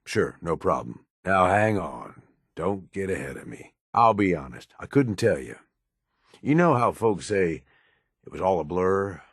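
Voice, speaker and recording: A cynical American raider lieutenant from a video game, low-pitched and gruff. He talks streetwise and sardonic, with a profane, menacing edge. The recording is close and dry. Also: dry recording, heavily acted. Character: hard-bitten, cynical male raider lieutenant, menacing schemer. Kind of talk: in-game character dialogue.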